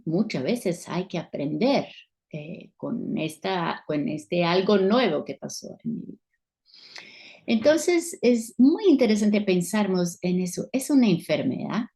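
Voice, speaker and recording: A woman's voice: on the low side, animated, measured pace, creaky, dark tone. A Brazilian woman with a Portuguese accent, a psychologist guest explaining things thoughtfully and searching for words. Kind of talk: explaining in an interview.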